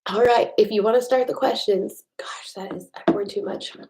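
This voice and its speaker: A woman's voice: medium pitch, steady tone, normal speed, whispered. A woman from the United States chatting casually with viewers on a livestream, reading their comments aloud and joking at her own expense. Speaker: livestream host. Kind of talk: casual live chat with viewers. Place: home at night.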